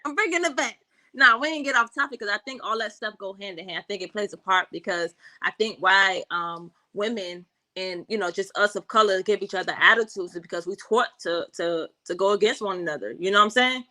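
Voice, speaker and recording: Bright animated woman in casual talk. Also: medium pitch, fairly quick. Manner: animated, keeps saying "you know what I'm saying". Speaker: guest. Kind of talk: casual discussion.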